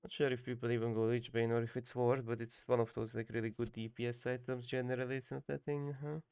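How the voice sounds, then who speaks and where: Gravelly Hungarian man streaming, dry mic. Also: mid-range voice, flat delivery, fast, a little mellow, dry recording. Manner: rambling, many fillers and restarts. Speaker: game coach streamer. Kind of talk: casual live-stream commentary.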